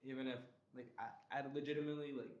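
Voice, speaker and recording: A young man of middling pitch, the sort heard on a business-partner podcast in a bare echoey room, driving points home by repetition. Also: steady tone, fast talker. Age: young adult. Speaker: interview guest, business partner. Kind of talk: casual chat. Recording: echoey room, dull lo-fi audio.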